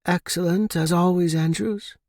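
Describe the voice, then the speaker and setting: A man's voice: high-pitched, steady tone, moderate pace, darkish tone, no room sound, clean studio sound, voice pushed up. A man voicing an elderly, wealthy woman in an audiobook story, formal, gracious and affectionate. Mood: gracious, fond.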